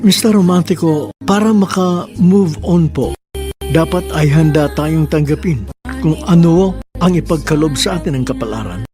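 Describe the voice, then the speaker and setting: A man's voice: on the high side, lively intonation, moderate pace. A man hosting a radio drama with sentimental scripted narration. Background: music.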